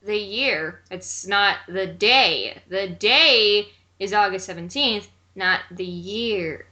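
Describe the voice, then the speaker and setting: A woman's voice: mid-range voice, slow pace, bright, forceful tone. A woman co-commentating in casual banter, with a sassy, teasing way of talking. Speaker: guest co-commentator.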